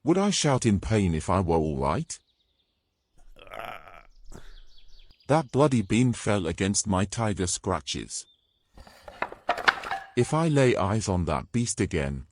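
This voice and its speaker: Man acting a bold, low-voiced British knight. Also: a little mellow, dry recording. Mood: irritated. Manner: wry, brash, old-fashioned turns of phrase. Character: bold, gruff, teasing male knight adventurer. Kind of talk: romantic fantasy audio drama acting.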